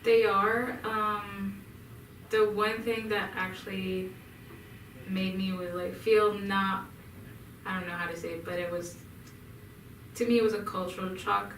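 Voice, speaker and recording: A young woman from Egypt answering interview questions in a hesitant way, with many pauses and restarts. She is recorded in an echoey room with the mic some distance away. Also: mid-range voice, level tone, fairly bright tone, slight background noise. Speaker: interview guest.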